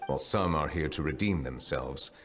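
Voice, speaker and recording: A man voicing a grave, formal, humble chief justice in a video game, speaking with measured courtesy. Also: rumbling low, over music. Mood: polite, reserved.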